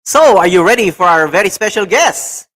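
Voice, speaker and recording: A man's voice: high voice, lively intonation, moderate pace, fairly bright tone, poor audio. A man hosting an online talk show, enthusiastic and repetitive, with many fillers. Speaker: show host.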